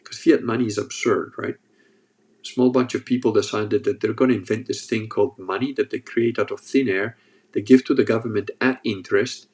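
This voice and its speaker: A man's voice: lowish voice, darkish tone. A man with a sore throat hosting a casual livestream, reading chat and joking as he rambles. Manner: rambling, joking, restarts often.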